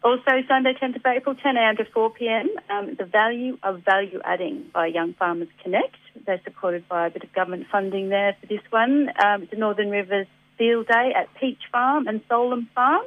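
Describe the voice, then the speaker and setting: An Australian woman with a slightly gruff voice from a head cold, chatting on community radio about local events with many ums and easy laughs. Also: mid-range voice, a little fast, no room sound. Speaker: environment centre guest on community radio. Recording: dry tinny phone line.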